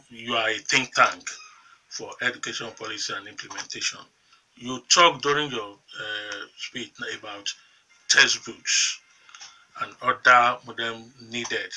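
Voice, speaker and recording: Nigerian male morning-show host, emphatic questioner, bright tone, slightly distant. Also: medium pitch, breathy edge.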